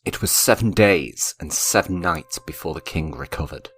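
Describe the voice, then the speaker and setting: A man narrating a dark story with grave, deliberate and theatrical delivery. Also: extremely low pitch, expressive, husky. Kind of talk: dramatic scripted story narration. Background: instrumental music.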